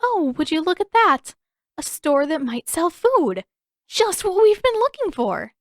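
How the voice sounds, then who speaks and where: Woman voicing an emphatic, high-pitched teenage girl. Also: big pitch swings, unhurried, no echo, clean studio sound, heavily acted. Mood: excited, sly. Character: indignant teenage girl, stubborn, slyly cheerful schemer.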